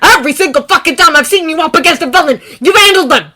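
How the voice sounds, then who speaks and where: A woman's voice: mid-range voice, animated, fairly quick, pitched above the performer's own voice. Woman doing a furious swearing teen boy. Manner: shouting, swearing, voice cracking. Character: furious teenage boy hero, explosive temper. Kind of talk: fan fiction reading.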